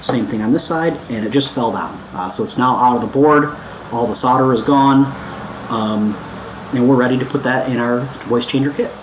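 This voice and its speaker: A man's voice: medium pitch, normal speed. A man talking casually and informally as he hosts a hands-on DIY electronics tutorial, easygoing and a little self-deprecating. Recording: echoey room, some background noise.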